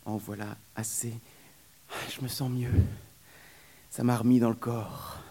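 A man's voice: flat delivery, measured pace, dark tone. A man voicing a suffering young wet nurse, pleading in a raised, breathless voice. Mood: relieved. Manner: high, plaintive, breathless pleading.

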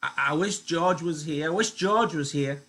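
A man voicing a slow, childlike big ranch hand who stammers and whines, in a novel read aloud. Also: a little high, fairly bright tone, voice pushed up, close to an everyday voice. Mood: whining. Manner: stammering and whining.

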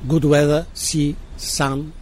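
A man with a Maltese accent speaks in short, emphatic bursts. His voice is fairly high and mellow. A faint background hum sits behind him. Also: level tone, slow pace, low-quality recording. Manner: emphatic, broken English. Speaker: interviewee.

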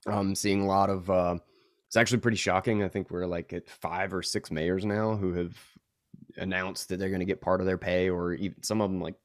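A man's voice: deep voice, flat delivery, a little fast, no room sound, clean studio sound. A man chatting as a podcast guest, stuffed up from a recent illness, telling stories with lots of fillers and laughs. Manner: rambling, laughing storyteller with many fillers. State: congested, recovering from illness. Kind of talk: casual interview chat.

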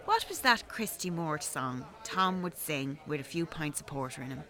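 A woman's voice: deep voice, expressive, moderate pace, sharp tone. A woman with an Irish accent playing a sociable pub regular in a naturalistic radio drama. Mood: wistful.

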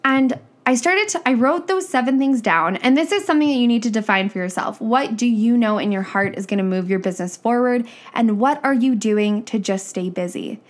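A woman's voice: on the high side, fairly quick, few pauses. A young woman, a business coach, talking casually on her podcast, her voice nasal and stuffy from a cold, frank and chatty. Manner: chatty, frank, says "like" and "right?" often.

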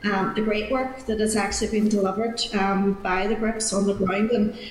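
A woman's voice: medium pitch, fairly even intonation, normal speed. A woman with a Northern Irish accent, deputy chairperson earnestly putting questions to a committee hearing. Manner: earnest, repeats words while searching. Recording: echoey room with faint noise.

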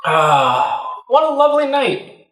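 A man's voice: extremely high pitch, very animated, slow, very noisy, exaggerated voice. A man playing a cocky young man on a date in an improvised comic radio play, talking in a casual, hesitant way in an echoey room. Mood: casual, mild. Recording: roomy, slightly distant mic.

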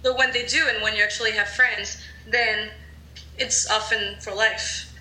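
Bright-voiced French woman, roomy sound. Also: medium pitch, fairly even intonation, moderate pace. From France. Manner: chatty, hedging with many fillers. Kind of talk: casual chat. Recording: roomy echo.

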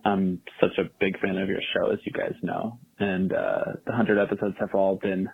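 A man's voice: low-pitched, flat delivery, moderate pace, gravelly, breathy edge. A man leaving a voicemail for the show, dry-humored and friendly. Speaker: caller from a fellow podcast. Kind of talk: voicemail greeting. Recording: phone-line voicemail.

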